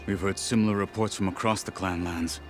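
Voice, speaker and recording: Man acting a very deep, grim warrior marshal. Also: fairly even intonation, soft background music, close to an everyday voice. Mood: grave, informative. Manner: terse, stern, weighty deliberate delivery. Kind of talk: dramatic video game cutscene acting.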